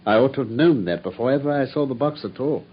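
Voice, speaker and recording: An actor playing a brilliant, self-assured male detective in a radio drama, speaking in a crisp, measured way. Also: mid-range voice, lively intonation, close to an everyday voice. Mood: rueful. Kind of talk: 1950s radio drama acting. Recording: dull old radio broadcast with faint hiss.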